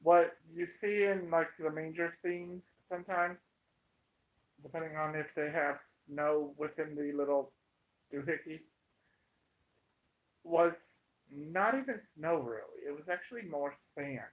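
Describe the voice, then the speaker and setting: An American man with a slightly high voice talks slowly and emphatically to his online viewers. He delivers an opinionated, informal monologue, stopping and starting and repeating phrases for weight. The recording is dull and lo-fi. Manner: emphatic, halting, repeats himself for effect. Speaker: online commentator. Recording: dull, muffled lo-fi sound.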